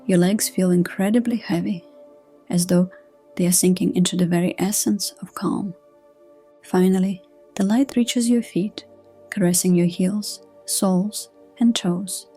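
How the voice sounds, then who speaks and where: Soothing low-voiced woman guiding sleep hypnosis. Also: steady tone, measured pace, breathy edge. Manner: soothing, gentle, hushed delivery. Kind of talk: scripted guided sleep hypnosis narration. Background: soft new-age music.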